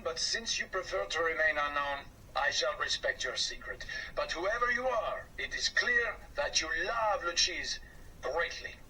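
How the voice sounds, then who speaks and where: Man playing a pompous boss, middle-aged, formal, french words, lively, bright. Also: high-pitched, distant mic, a little hiss or hum, pitched above the performer's own voice, heavily acted, low-quality recording.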